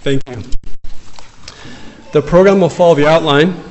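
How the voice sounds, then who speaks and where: A man's voice: fairly high pitch, a little slow, darkish tone, poor audio. A man conducting a funeral service in a chapel, announcing the program in a plain, orderly way. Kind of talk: formal announcements.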